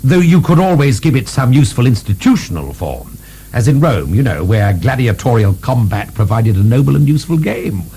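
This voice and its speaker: Urbane, mellow aristocratic libertine. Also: male voice, medium pitch, big pitch swings, a little fast, slightly rough. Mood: blandly provocative. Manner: urbane, mock-deferential, drily ironic. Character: provocative male aristocratic libertine philosopher, politely mocking. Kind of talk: British radio drama acting.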